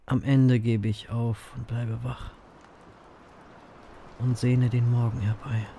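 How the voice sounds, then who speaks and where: An actor playing a brooding, wry male grim reaper who narrates his own story in an audio drama, self-mocking and breathy in places. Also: mid-range voice, level tone, slow pace, warm tone, slight background noise. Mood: weary, brooding.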